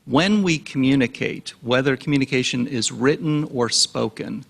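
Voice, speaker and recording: A man's voice: mid-range voice, normal speed, vocal fry. A man on an expert panel giving a fluent, lecture-like explanation with everyday examples. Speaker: cognitive psychologist on a panel.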